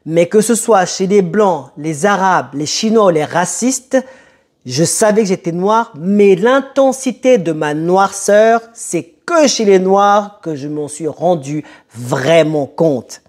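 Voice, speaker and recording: A young man who grew up in France telling a personal story to camera in a conversational, emphatic way with dramatic pauses. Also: high-pitched, lively intonation, darkish tone. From born in Italy, moved to France as a child. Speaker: motivational video host.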